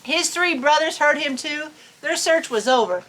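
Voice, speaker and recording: A woman's voice: high voice, animated, normal speed, a bit bright, low-quality recording. A woman reading a storybook aloud in a folksy, playful way, with chatty asides.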